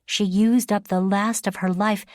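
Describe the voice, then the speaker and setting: A woman's voice: fairly high pitch, moderate pace, a little mellow, dry recording, studio quality, voice pushed up, close to an everyday voice. A woman reading an audiobook, voicing a distraught young woman who pleads and repeats herself breathlessly. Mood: tearful insistent. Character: frantic distraught young woman, shaken and remorseful.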